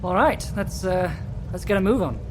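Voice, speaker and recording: A man's voice: high-pitched, lively intonation, moderate pace, a little hiss or hum. A man playing a wry, mildly exasperated guide to the ghost world in an audio drama. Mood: dry, hesitant. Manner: hesitant, dry, put-upon.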